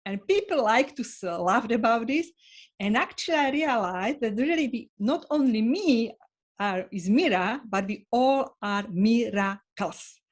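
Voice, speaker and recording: Slovak-accented woman guest, non-native English, sincere and a bit halting. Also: a little high, lively intonation. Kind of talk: online interview.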